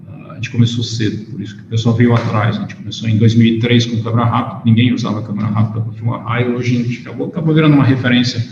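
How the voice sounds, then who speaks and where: A man's voice: mid-range voice, fairly even intonation, very fast, few pauses, a little mellow. A Brazilian man, a native Portuguese speaker, lecturing informally as a researcher with a hesitant, stop-and-start delivery. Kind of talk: informal lecture with questions and answers, switching between Portuguese and English. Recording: echoey hall, dull sound, faint noise.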